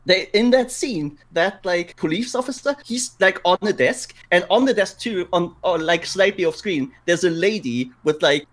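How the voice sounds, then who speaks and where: Casual male podcast co-host, slightly high voice, storytelling with emphatic repetition. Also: expressive, moderate pace, few pauses. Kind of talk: casual podcast chat about games and films.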